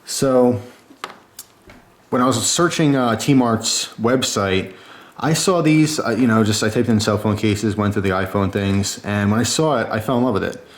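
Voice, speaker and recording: Male reviewer, lowish pitch, casual and chatty, informal enthusiast delivery. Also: moderate pace. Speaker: product reviewer.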